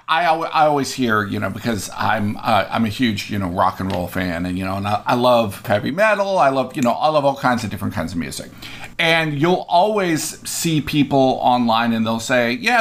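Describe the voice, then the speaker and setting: A man's voice: mid-range voice, animated, normal speed, fairly bright tone. A man co-hosting a podcast in casual chat, rambling with fillers and fond of puns. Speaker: podcast co-host.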